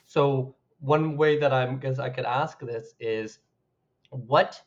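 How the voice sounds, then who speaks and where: A man's voice: medium pitch, takes it slowly, highs cut off. A man hosting a podcast, asking hesitant, self-effacing interview questions. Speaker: podcast host.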